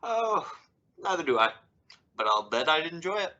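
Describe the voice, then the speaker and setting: A woman's voice: low-pitched, sing-song, normal speed, bright, forceful tone, full character voice. A woman playing a wry, exasperated but loving middle-aged father in an old-time radio sitcom, with emphatic, sarcastic delivery. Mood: wryly sarcastic. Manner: dry sarcasm with emphatic swoops. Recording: dull, lo-fi audio.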